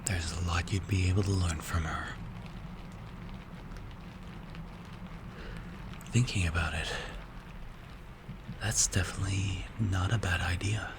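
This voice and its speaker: A man playing a strict, calculating mafia boss in an ASMR roleplay, speaking in a hushed, breathy, measured and menacing way. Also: low voice, fairly even intonation, noisy background. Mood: musing, approving. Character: strict, cold, calculating male mafia boss mentoring a protégé.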